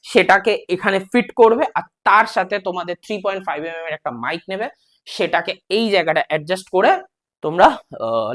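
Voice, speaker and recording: A vlogger casually walking through a gear setup step by step, speaking informally and directly to the viewer with English words mixed in. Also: medium pitch. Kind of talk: casual product walkthrough, explaining step by step.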